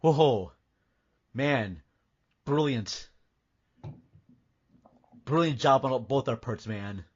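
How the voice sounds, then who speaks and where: A man's voice: mid-range voice, lively intonation, drawn-out words, pauses often, husky. A man hosting a podcast in casual, joking, sometimes mock-serious chat. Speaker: podcast host. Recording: dull, band-limited podcast audio.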